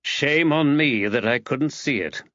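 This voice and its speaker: A man's voice: medium pitch, a little slow, sharp tone, no echo, lo-fi sound, voice pushed up. A man voicing an earnest, mildly exasperated man in audiobook dialogue, speaking emphatically. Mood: self-reproachful.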